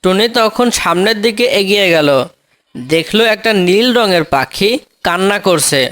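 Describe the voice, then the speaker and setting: Male narrator for children's cartoon tales, notably high register, scripted storytelling delivery. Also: moderate pace, a bit bright.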